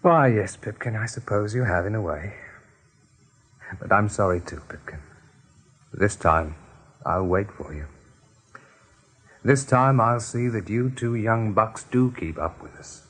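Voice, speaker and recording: A man playing a kindly, decisive young male rabbit leader in a radio play, with a husky voice. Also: on the low side, animated, a little slow, pauses often. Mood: gentle. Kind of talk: 1980s radio play acting.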